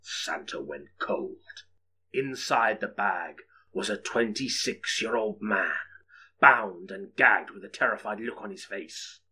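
A man's voice: lowish voice, lively intonation, slow, bright, forceful tone, husky. A man narrating an audiobook story in a dramatic, scripted storytelling style.

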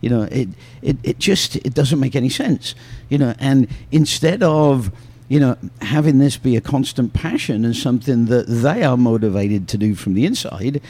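A man's voice: mid-range voice, animated, normal speed, few pauses, warm tone. A man, a youth soccer coach, talking reflectively in a casual podcast with many fillers. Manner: reflective, joking, filler-heavy.

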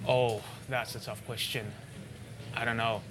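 A man's voice: medium pitch, little pitch movement, a little slow. A young man from Sweden, a native Swedish speaker, answering interview questions informally and a little tentatively. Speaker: interview guest. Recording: noisy location with clear ambience.

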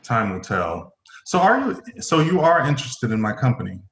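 A man playing a stern, formal middle-aged company executive in a play reading, halting and stumbling over his phrases. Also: mid-range voice, very animated, a bit bright. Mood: formal, guarded. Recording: roomy echoing voice.

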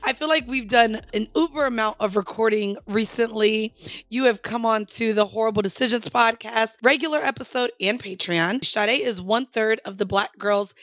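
Woman podcast host, chatty and upbeat, voice on the high side, dry studio sound. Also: moderate pace, a bit bright, no room sound, soft background music. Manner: chatty, upbeat, asks personal questions. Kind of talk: casual podcast chat.

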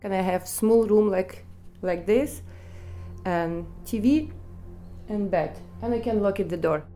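A woman from Ukraine with a Ukrainian accent, telling her own story in halting, broken English with many pauses. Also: medium pitch, warm tone. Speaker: interviewee. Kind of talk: personal testimony in non-native English.

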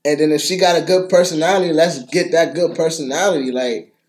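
A man's voice: fairly high pitch, fairly even intonation, a little fast. A man co-hosting a podcast in casual chat, slangy, profane and opinionated. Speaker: podcast co-host.

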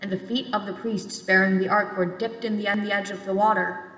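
A woman's voice: medium pitch, flat delivery, fairly quick, fairly bright tone. A young adult woman reading scripture aloud as a church lector. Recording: echoey hall, long reverb, slightly dull.

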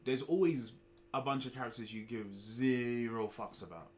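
Young man, podcast co-host, loose swearing banter, faint noise. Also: medium pitch. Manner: loose, sweary, quick to laugh. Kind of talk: casual chat.

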